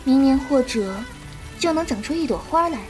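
A woman playing a gentle, compassionate young martial-arts disciple who explains patiently in a Chinese period audio drama. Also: high voice, animated, normal speed, soft background music, poor audio. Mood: gentle, consoling.

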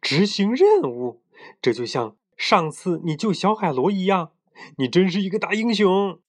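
A man voicing an excitable young penguin boy who gushes with eager admiration in a children's story. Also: high-pitched, moderate pace, pitched above the performer's own voice. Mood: excited.

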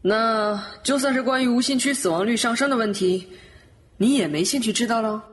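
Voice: mid-range voice, brisk, natural voice. A voice actor playing a sly, smug male death god who teases and mocks in an audio drama. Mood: teasing. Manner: teasing, mocking. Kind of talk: Chinese audio drama acting.

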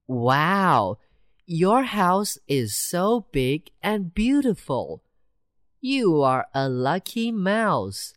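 A humble, cheerful country mouse who is amazed by everything, voiced by a woman reading a storybook. The voice is low and lively in pitch, spoken very slowly, in a close, dry recording. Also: no echo, full character voice. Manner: slow, wide-eyed, gently excited.

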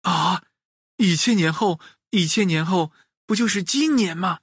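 Guy doing a panicky chicken king, pitched up, husky, dry sound. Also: unhurried, fairly bright tone, dry recording, full character voice. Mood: alarmed. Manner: exclaiming, urgent. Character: chicken king, excitable and alarmed.

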